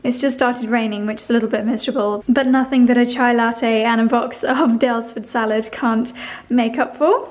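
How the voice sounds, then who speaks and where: A woman's voice: on the high side, fairly even intonation, moderate pace, a little mellow. A woman vlogging casually, effusive and gushing about home and garden. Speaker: lifestyle vlogger. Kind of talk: casual vlog.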